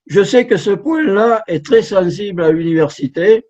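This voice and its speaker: A man's voice: high-pitched, moderate pace, darkish tone. A middle-aged man, a professional translator, giving an informal conference talk from home over a video call, with frequent restarts and repeated words. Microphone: computer mic on a video call. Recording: dull lo-fi video call audio.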